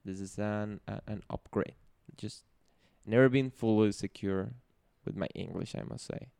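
A man's voice: fairly low pitch, moderate pace, vocal fry, mellow, clean tone, dry recording. A young man from Venezuela, a Spanish speaker with a Venezuelan accent, chatting casually on a podcast, laughing easily and restarting words as he goes. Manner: laughs often, self-deprecating, restarts words. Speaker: podcast co-host.

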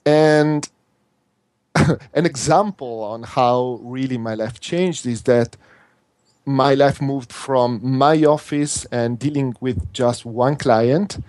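An Italian-accented man, a native Italian speaker, speaks in an earnest, halting way, circling back and restarting his phrases. His voice sits at an ordinary middle pitch. The sound is dry and close, with no room echo. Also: a little mellow. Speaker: interview guest, workshop instructor. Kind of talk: earnest conversational interview.

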